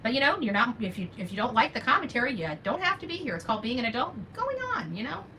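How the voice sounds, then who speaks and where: A woman's voice: fairly high pitch, big pitch swings, fast, pushed and bright, slight background noise. An older woman hosting unscripted opinion commentary, emphatic and repeating key phrases for stress. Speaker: true-crime commentary host.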